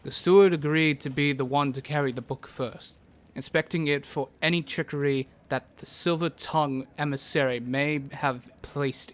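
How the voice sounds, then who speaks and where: A man reading scripted narration for a fantasy audio story. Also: fairly high pitch.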